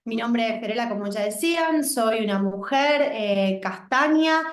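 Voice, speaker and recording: A woman's voice: a little high, expressive, measured pace, roomy sound. A woman from Argentina, a guest speaker presenting her organization on a video call in a composed, explanatory way.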